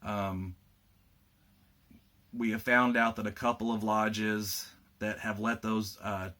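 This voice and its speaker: A man with a slightly rough voice, giving a casual video update to lodge officers, plainspoken with frequent fillers. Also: lowish voice, level tone, slow pace, sharp tone. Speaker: state lodge administrator giving members an update.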